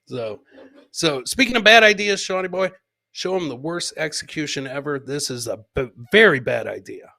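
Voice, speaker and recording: A man hosting a live comedy show, joking crudely about video clips in an animated way and repeating his lines for emphasis. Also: on the high side, moderate pace, creaky. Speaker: podcast host.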